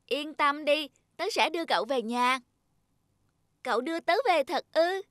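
A woman voicing an earnest, childlike little talking household object in a children's cartoon, with an expressive manner. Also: high voice, normal speed, no room sound, clean studio sound. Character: childlike talking cup and flashlight, eager, easily frightened young friends. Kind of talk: dubbed children's cartoon dialogue.

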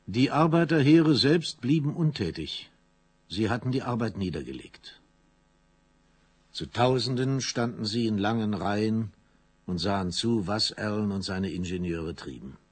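An actor narrating a radio play as a grave storyteller, in dramatic scripted style. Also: male voice, lowish voice, lively intonation, unhurried, breathy edge. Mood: grave, intense.

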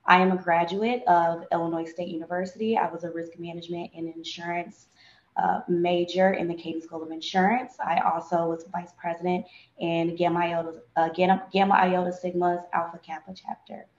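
A woman's voice: fairly low pitch, flat delivery, brisk. A woman working as an insurance broker, introducing herself on a video call in a hesitant way with many fillers. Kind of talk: casual self-introduction. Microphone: webcam video call. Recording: roomy, dull-sounding webcam audio.

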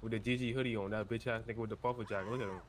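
A man's voice: lowish voice, steady tone, racing. A man bantering casually in slang and repeating himself during a game roleplay. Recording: game chat with faint car noise.

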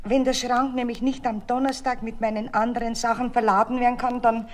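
An actress playing a polite, anxious customer in a radio play. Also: female voice, on the high side, flat delivery, a little fast, natural voice. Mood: polite, concerned.

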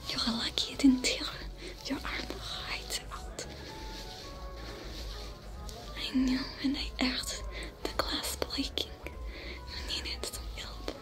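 A woman voicing a female alien smuggler with a French accent, whispering gently in an ASMR roleplay. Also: high voice, little pitch movement, music in the background. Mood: soothing. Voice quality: whispery, soft-spoken. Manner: slow, soothing, caring.

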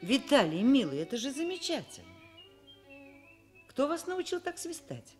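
Expressive middle-aged woman professor. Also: sits high, moderate pace. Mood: kindly. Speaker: radio drama actor, narrator. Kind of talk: Soviet radio play narration and dialogue. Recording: radio play, violin music behind.

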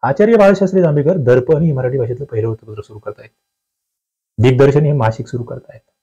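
Male exam coach, Maharashtrian accent, dark soft-edged tone, medium pitch, direct instructive delivery with key points repeated. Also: fast talker, husky, low-quality recording. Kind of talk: exam question walkthrough lesson.

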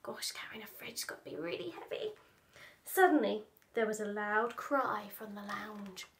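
A woman in her thirties reading a children's story aloud in an expressive, dramatic manner. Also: medium pitch, normal speed. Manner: expressive, dramatic, with stage-whispered asides. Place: small room at home, indoors.

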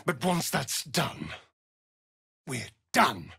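A sardonic male vampire rogue from a fantasy game. His arch, seductive drawl is unhurried and swings theatrically up and down. The recording is dry and close. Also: medium pitch, very animated, gravelly, sharp tone, slightly rough, dry recording, full character voice. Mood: hurt, resigned.

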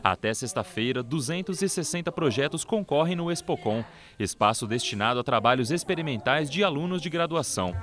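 A man's voice: fairly high pitch, lively intonation, fairly quick, a bit bright, faint music behind. A man with a Brazilian accent reading scripted news narration as a TV reporter.

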